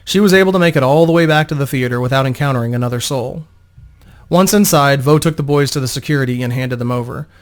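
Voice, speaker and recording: Man narrating a fantasy story, medium pitch. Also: quick pace. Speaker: narrator and host.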